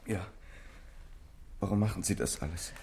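Guarded, dark-voiced young man. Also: low voice, normal speed, creaky. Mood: guarded, subdued. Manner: reserved, terse. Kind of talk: German radio drama acting.

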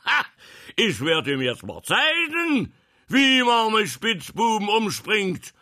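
Thundering imperious prince, pitched high. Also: male voice, big pitch swings, takes it slowly, bright, forceful tone, heavily acted. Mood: scornful commanding. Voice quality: powerful, booming. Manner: barking, scornful, imperious. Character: thundering, commanding prince disguised as a vagabond journeyman.

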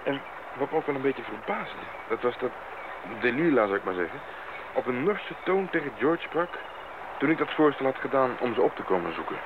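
Brief: man as earnest expedition member, medium pitch, reflective, vintage radio play with clear noise. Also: very animated, brisk, close to an everyday voice. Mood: puzzled, reflective. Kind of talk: old radio drama acting. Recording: muffled, noisy old radio recording.

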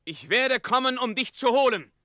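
An actor playing an ardent, tender knightly hero in a radio play, earnest and romantic. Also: male voice, extremely high pitch, lively intonation, normal speed, no echo, full character voice. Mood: defiant. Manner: earnest, romantic, swinging from hushed to declamatory. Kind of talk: German radio play acting.

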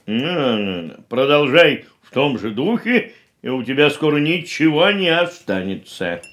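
An actor playing a fussy, thrifty older uncle in a radio drama, grumbling and admonishing. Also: male voice, medium pitch, sing-song, measured pace, a bit bright, slight background noise. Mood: sarcastic scolding. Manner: grumbling, chuckling, hemming, admonishing.

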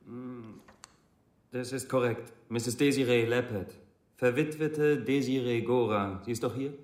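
An actor playing a terse, drily official federal agent in a radio drama. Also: male voice, medium pitch, steady tone, unhurried, darkish tone, close to an everyday voice. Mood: curt, official. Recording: roomy, echoing studio interior.

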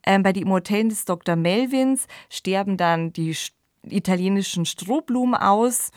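A woman's voice: mid-range voice, moderate pace, a little mellow, no room sound, clean studio sound. A woman hosting a podcast, giving conversational, reflective commentary and explaining things. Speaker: podcast host.

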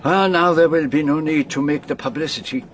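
An actor playing a shrewd, courteous detective with a French accent and formal, deliberate phrasing in a radio drama. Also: male voice, on the high side, a little hiss or hum, lightly acted. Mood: grave, urgent.

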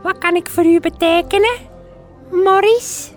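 Very high-pitched landlady. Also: a little slow, soft background music. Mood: flirtatious. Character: coquettish, polite village inn landlady. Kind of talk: radio drama acting.

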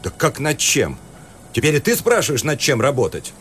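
An actor playing a cultured, exasperated university professor in a radio play, lecturing in an articulate, indignant way. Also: male voice, medium pitch, huge pitch swings, moderate pace, a little hiss or hum. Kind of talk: Russian radio play acting.

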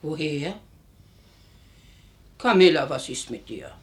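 A poor older village mom in a radio play, lowish voice, motherly but reproachful, keeps questioning. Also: big pitch swings, takes it slowly, heavily acted. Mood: puzzled. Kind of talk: German radio play dialogue.

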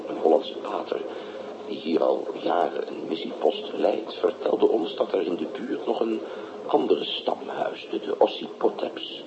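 A man playing a formal scholarly explorer giving a deliberate radio lecture, heard as an old recording played back. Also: deep voice. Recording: noisy, muffled old radio tape.

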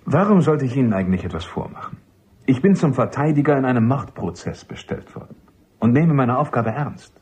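A man playing a polite, persistent army captain and defence counsel in a radio drama, husky and measured. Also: medium pitch, animated, darkish tone, muffled recording. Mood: earnest. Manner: measured, courteous, insistent. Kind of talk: German radio drama acting.

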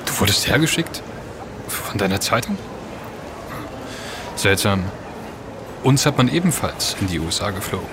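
A man's voice: fairly low pitch, big pitch swings, normal speed, long pauses. Dry male hacker, noisy urban backdrop. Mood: guarded, curious. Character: determined, dry male computer hacker from Berlin. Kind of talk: German audio drama acting. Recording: clear urban ambience behind.

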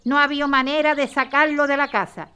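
A woman's voice: high voice, steady tone, quick pace, sharp tone. A woman playing a bold, protective working-class mother in a historical audio drama, blunt and colloquial. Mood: friendly, matter-of-fact.

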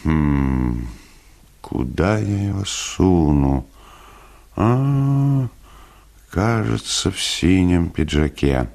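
A man's voice: very deep voice, creaky, darkish tone, pitched below the performer's own voice, full character voice. An actor playing a weary, irritable male writer who mutters exasperated thoughts to himself in a dramatised story. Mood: exasperated, grumbling.

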